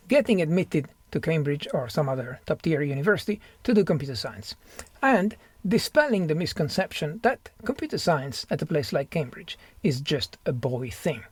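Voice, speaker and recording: A man's voice: fairly high pitch, breathy edge, no room sound. A middle-aged man hosting an interview, polite and precise, with some hesitations. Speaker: interviewer and host. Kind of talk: interview, scripted intro.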